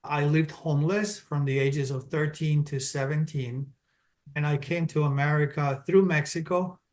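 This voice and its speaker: A middle-aged man from Colombia with a Colombian Spanish accent, a guest in an interview, explaining things in a steady, businesslike way and laying out his points in order. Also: on the high side, moderate pace. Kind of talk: interview answers, explaining step by step.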